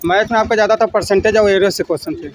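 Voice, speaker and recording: A man's voice: high voice, steady tone, racing. A young man answering interview questions casually, with hesitant starts and repeated phrases. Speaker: exam candidate being interviewed. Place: outdoors on a busy street. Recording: outdoor crowd and traffic noise.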